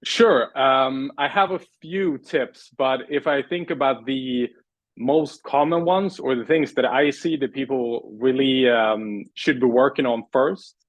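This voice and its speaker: A man's voice: medium pitch, a little slow, no room sound, muffled recording. Man, dry close sound. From Sweden. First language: Swedish. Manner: earnest, thoughtful, many restarts. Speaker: guest, language and pitch coach. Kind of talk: casual interview answers.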